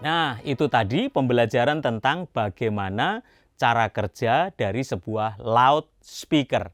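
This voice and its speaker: A man's voice: medium pitch, animated, measured pace, soft background music. A man presenting a scripted educational explainer, instructing viewers directly. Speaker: presenter of an educational video channel.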